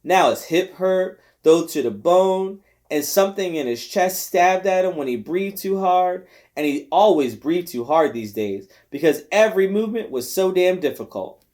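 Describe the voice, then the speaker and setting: A man narrating a novel aloud in an expressive storytelling style with deliberate phrasing. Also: sits high. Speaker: volunteer reader of a novel. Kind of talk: scripted audiobook narration.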